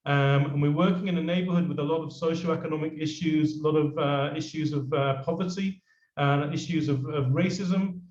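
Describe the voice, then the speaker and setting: A man's voice: on the high side, fairly even intonation, normal speed, a little mellow. A middle-aged man from England with an English accent, giving a casual talk as a guest speaker on a video call, with many fillers and restarts. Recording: roomy, dull lo-fi video call.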